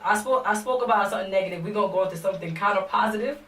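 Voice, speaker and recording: A blunt, animated young woman chats on a small studio show, laughing now and then. She sits a little back from the desk mic. The room is roomy and echoey. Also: medium pitch, rapid-fire, recorded from a distance. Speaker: co-host of a music talk show. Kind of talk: casual studio chat. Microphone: desk microphone.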